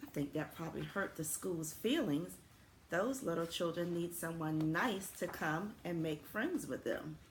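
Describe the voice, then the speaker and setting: A woman, an elementary school principal, reading a children's story aloud in a deliberate, expressive way, with simple friendly asides for young listeners. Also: fairly low pitch. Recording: roomy echo with faint background noise.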